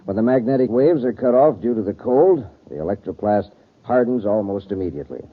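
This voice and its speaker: Casting: courteous, exacting scientist for an old space radio drama, low rough-edged voice, tinny archive recording. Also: male voice, animated, fairly quick. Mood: explaining, courteous. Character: earnest male scientist, a doctor running a space project. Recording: muffled, thin vintage radio recording.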